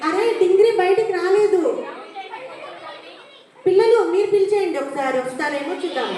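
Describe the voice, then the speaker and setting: A woman with a very high voice presents a children's puppet show. She puts short, simple questions to the kids and often repeats them. The sound is distant and swimming in hall echo, with a crowd clearly audible. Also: animated, normal speed, dark tone. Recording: very echoey hall, distant mic, crowd noise.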